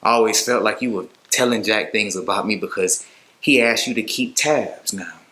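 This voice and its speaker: A man's voice: lowish voice, normal speed, sharp tone. A man acting a creepy, mock-friendly killer toying with a captive in a dramatic monologue. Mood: quiet menace. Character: unsettling, taunting male killer toying with his captive.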